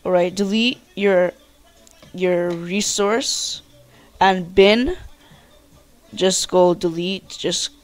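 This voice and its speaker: Gaming tutorial host, mid-pitched voice, slow pace, informal, rambling, casual instructions. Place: indoors at a computer.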